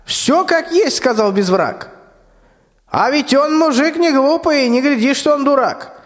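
A very high-pitched, pompous tsar, acted by a man holds forth in rhymed folk speech. He is bossy and wheedling, with swooping, unhurried delivery in a radio fairy tale. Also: animated, no room sound, clean studio sound. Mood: suspicious, threatening. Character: blustering lovestruck old tsar, bossy and wheedling. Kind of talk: rhymed folk-tale radio play, amateur school production.

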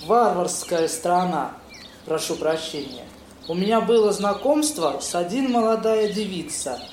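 High-pitched young gentleman played by a man, flustered and polite, halting foreign grammar, roomy audio-play sound. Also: expressive. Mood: indignant, rattled. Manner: halting, ungrammatical foreigner's speech. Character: courteous young Italian man, flustered, speaking broken Russian. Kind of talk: amateur audio play acting. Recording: roomy echo, noisy birdsong ambience.

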